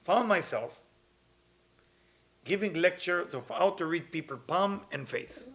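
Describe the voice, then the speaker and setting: Man in his 50s, slightly high voice, earnest teacher who repeats himself. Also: lively intonation, takes it slowly, a bit bright. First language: Hebrew. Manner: earnest, repeats phrases, non-native grammar. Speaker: kabbalah teacher. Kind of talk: weekly spiritual talk.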